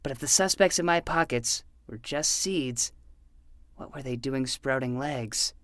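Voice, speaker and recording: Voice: mid-range voice, unhurried, a bit bright, dry recording, close to an everyday voice. In a close, dry recording, an actor plays a twelve-year-old boy who fancies himself a noir detective. He delivers tough-guy patter with great earnestness, in podcast drama style. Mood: brooding, self-serious. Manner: self-serious detective patter. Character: twelve-year-old boy playing hard-boiled private eye, earnest. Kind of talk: fiction podcast acting with noir narration.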